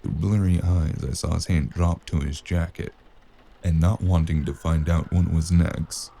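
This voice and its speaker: Male actor as hardboiled noir detective, low-pitched, dark mellow tone, sardonic and menacing, gravelly, audio drama. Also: steady tone, measured pace, exaggerated voice. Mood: tense, narrating. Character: cynical, wisecracking hardboiled spacer investigator with magic. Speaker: voice actor playing the lead and narrator.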